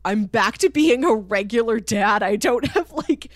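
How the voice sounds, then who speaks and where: A woman's voice: on the high side, animated, unhurried, dry recording, studio quality. A woman co-hosting a podcast, talking casually through a TV show and acting out imagined lines as she explains. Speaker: podcast co-host. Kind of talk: casual conversational TV show discussion.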